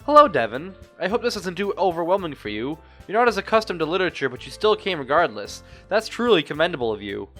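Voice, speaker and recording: A man voicing a polite, formal, soft-hearted schoolgirl while reading visual novel dialogue aloud. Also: on the high side, very animated, fast talker, hardly any pauses, pitched above the performer's own voice. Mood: polite, welcoming. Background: music.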